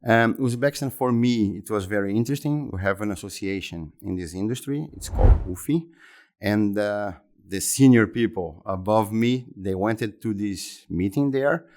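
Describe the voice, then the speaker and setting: A man in his fifties from Brazil, speaking English with a Brazilian Portuguese accent as an interview guest, talking haltingly and often repeating words and restarting phrases. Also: lowish voice, a little mellow. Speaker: interview guest, exhibition center executive. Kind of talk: conversational interview answers.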